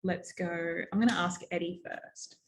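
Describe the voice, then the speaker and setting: A woman's voice: lowish voice, fairly even intonation, a little slow. A woman, asking questions as an interviewer in a friendly, structured chat.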